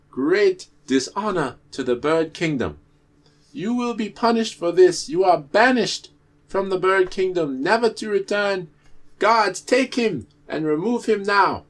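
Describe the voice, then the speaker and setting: A man voicing a pompous, furious male bird king in a folktale, speaking in booming, commanding proclamations. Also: lively intonation, slow pace.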